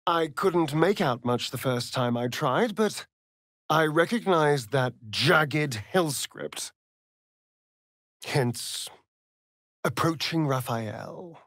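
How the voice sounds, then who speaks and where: An ancient, vain male vampire rogue from a fantasy video game. The delivery is slow and savouring, with pitch that swoops theatrically. He sounds arch and mocking, recorded very dry and close. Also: animated, a bit bright, no echo, exaggerated voice. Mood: serious, observant. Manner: arch, flamboyant, drawling, mocking. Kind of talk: video game character acting.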